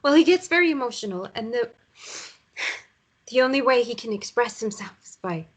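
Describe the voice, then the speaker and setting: A woman acting a weary, evasive mother in a naturalistic drama table read, speaking haltingly and appeasingly. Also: fairly high pitch, animated, slightly rough. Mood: resigned explaining. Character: weary mother downplaying her ex's abuse, evasive.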